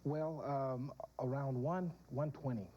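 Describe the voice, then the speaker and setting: A man's voice: medium pitch, steady tone, slow. An American man recounting his experience as a news photographer in a television interview. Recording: dull, echoing broadcast copy with clear noise.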